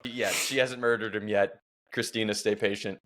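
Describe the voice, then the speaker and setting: Joking, energetic male podcast host. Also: fairly low pitch, moderate pace, rough recording. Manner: joking, energetic, leads the show. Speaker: fantasy football co-host. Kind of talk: casual podcast analysis.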